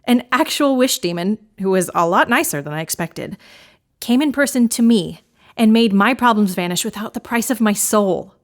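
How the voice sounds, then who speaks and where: An actress playing a frazzled female knitter confessing in an audio drama dark comedy, with a theatrical, wry, confiding manner. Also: mid-range voice, animated, moderate pace, natural voice. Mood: amazed, relieved.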